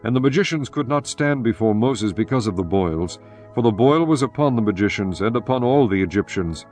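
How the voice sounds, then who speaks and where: A man narrating scripture in a solemn, measured, scripted style. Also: fairly low pitch, animated, darkish tone. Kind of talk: scripted dramatised Bible narration. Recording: music behind narration.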